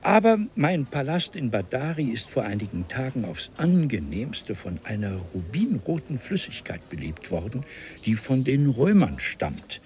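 An actor playing an elderly, courteous scholar from an ancient civilization in a German radio play, speaking in formal, archaic, ornate phrases. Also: male voice, medium pitch, big pitch swings, moderate pace, darkish tone, close to an everyday voice. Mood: stately, courteous. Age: older. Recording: 1970s radio play with audible noise.

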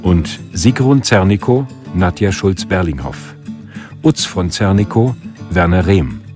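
A man reading the closing credits of a radio play in a measured, formal announcer style. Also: low-pitched, lively intonation. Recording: guitar music clearly behind.

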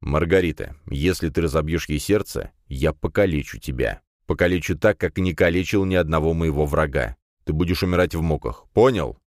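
Man acting a stern, low-voiced clan patriarch. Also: fairly quick, few pauses, no echo, clean studio sound. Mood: threatening. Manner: curt, commanding, coldly threatening. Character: stern, menacing middle-aged male head of a noble clan.

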